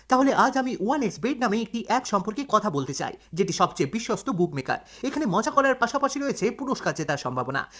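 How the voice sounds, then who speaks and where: Brisk, animated female ad promoter. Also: mid-range voice. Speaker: app promoter. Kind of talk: scripted advertisement read.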